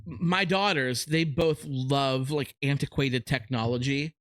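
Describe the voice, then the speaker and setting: A man's voice: medium pitch, fairly even intonation, takes it slowly, a bit bright. A middle-aged man with a cartoon-character voice chatting casually as a podcast co-host, enthusiastic and playful. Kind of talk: casual chat.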